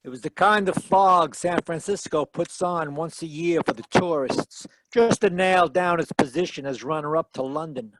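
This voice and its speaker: Man acting a wry, higher-pitched radio private eye. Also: moderate pace, hardly any pauses, a bit bright, close to an everyday voice, low-quality recording. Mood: wry narration. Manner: wisecracking, dry. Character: wry, tough male private detective. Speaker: actor narrating and playing lead detective. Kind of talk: 1940s radio detective acting. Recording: live video call performance.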